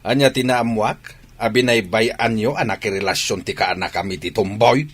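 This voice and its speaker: A man playing a blunt, exasperated village father with a raspy voice and an Ilocano accent in a comedy radio drama. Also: fairly low pitch, moderate pace, a bit bright.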